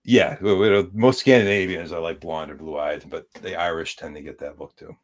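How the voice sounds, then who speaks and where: Deep-voiced, easygoing American man. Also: steady tone, moderate pace. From United States. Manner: easygoing, rambling storyteller. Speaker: podcast guest. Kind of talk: casual chat.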